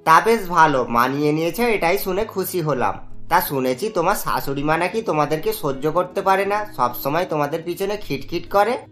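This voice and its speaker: A man's voice: fairly high pitch, lively intonation, normal speed, a bit bright, faint music behind. A man voicing a sly, meddling older neighbour in a family cartoon, with an insinuating, gossipy manner. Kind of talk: cartoon voice acting.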